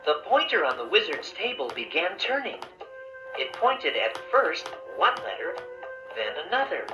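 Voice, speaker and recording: A man playing a friendly storybook bear who narrates a children's tale in a measured way, with echoey playback. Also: fairly high pitch, huge pitch swings, music in the background. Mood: storytelling.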